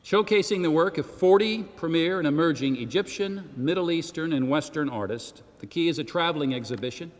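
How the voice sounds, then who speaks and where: A man's voice: on the high side, animated. Man giving a speech in an echoing hall. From Senegal. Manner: measured, emphatic oratorical phrasing with rhetorical asides. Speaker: arts organisation president giving a launch speech. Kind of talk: formal public speech. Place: church hall, addressing a gathering. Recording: long echoing reverb of a large hall.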